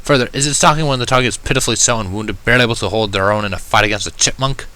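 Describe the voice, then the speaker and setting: Bright-voiced man narrating a story. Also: fairly low pitch, racing, smooth. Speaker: audiobook reader of fan fiction. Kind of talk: first-person story narration.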